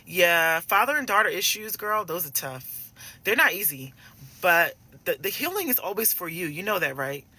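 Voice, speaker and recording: A woman in her forties with a very bright, forceful voice, pitched on the lower side for a woman. She talks like a coach in a casual live chat, addressing listeners directly and familiarly. Her delivery is emphatic, with key phrases repeated for weight. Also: sing-song, moderate pace, no room sound. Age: 40s. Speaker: relationship coach addressing women.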